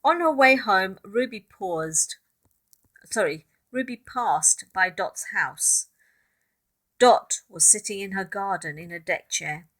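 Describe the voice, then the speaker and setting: Woman storyteller reading slowly and expressively to children. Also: mid-range voice, lively intonation, fairly bright tone. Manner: addresses young listeners, points out pictures, asks questions. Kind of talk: expressive read-aloud narration for children with asides.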